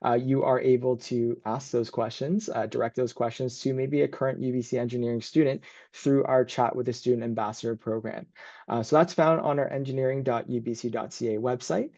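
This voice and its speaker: A man's voice: medium pitch, warm tone, no room sound, lo-fi sound. A man working as a university admissions advisor, hosting and presenting an informal online information session.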